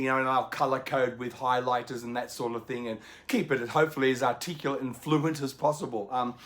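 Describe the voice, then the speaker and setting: A man, a book video host, talking unscripted to camera at home in a chatty, polite way with lots of ums, his voice slightly croaky. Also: mid-range voice, fairly bright tone. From Australia. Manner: chatty and polite, many ums and small laughs. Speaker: BookTube host. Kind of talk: unscripted casual chat to camera. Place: home indoors in the evening.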